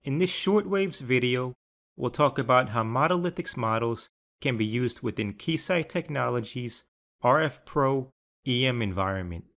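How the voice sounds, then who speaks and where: A man presenting a scripted software tutorial as an applications engineer, speaking in a measured, instructional way. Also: medium pitch.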